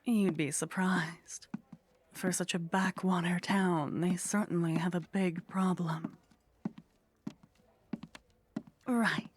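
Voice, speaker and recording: A woman playing a haughty, flirtatious vampire in an intimate ASMR roleplay, speaking softly and close to the microphone with a teasing, condescending manner that often drops toward a whisper. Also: fairly low pitch, fairly even intonation, slow, slight background noise. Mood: businesslike, wry. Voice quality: soft, breathy.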